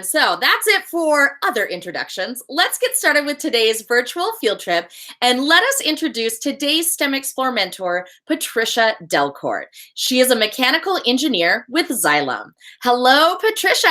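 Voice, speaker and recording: Lively upbeat woman hosting a webinar. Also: sits high, normal speed. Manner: upbeat, encouraging. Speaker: host.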